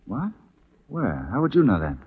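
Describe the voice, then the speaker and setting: An actor playing a confident, wry Union captain in a 1940s radio drama, nonchalant and dryly understated. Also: male voice, medium pitch, huge pitch swings, moderate pace, slightly rough, exaggerated voice. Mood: wry, determined. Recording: muffled 1940s radio recording.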